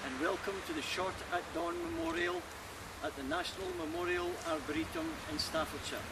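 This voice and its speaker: A man giving a formal, measured address at an outdoor memorial ceremony. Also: very high voice, wildly swooping pitch, vocal fry. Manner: slow, measured and solemn. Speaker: ceremony speaker. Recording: distant mic, loud outdoor noise. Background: outdoor ambience.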